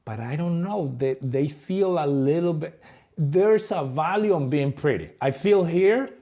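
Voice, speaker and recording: A man from Latin America with a Latin American Spanish accent, a podcast host talking casually in halting English full of restarts. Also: fairly high pitch, lively intonation, dark tone. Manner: affirming, halting with repeated restarts. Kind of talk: casual conversation.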